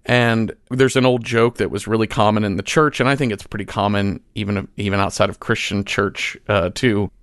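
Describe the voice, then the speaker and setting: A man in a casual, reflective interview as a guest, talking in a halting way as he thinks aloud. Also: fairly low pitch, fairly even intonation, a bit bright, no echo, studio quality. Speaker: podcast guest, fiction writer.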